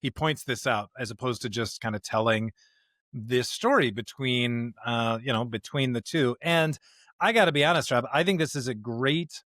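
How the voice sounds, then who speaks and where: A man co-hosting a podcast in a conversational discussion of scripture, speaking emphatically in halting bursts and often checking in with a rhetorical question. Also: medium pitch, fairly bright tone, dry recording, clean studio sound. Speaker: podcast co-host.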